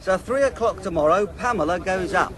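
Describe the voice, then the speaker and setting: A man's voice: sits high, animated, fairly quick, crowd noise. An actor playing a gossipy working man in a radio comedy drama. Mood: newsy, gruff. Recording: busy street with traffic behind.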